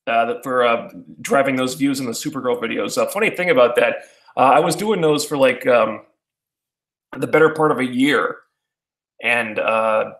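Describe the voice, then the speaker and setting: A man's voice: medium pitch, a bit bright. Rambling man in an echoey room. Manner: halting, many fillers and restarts. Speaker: YouTuber answering viewer questions. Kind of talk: casual rambling chat.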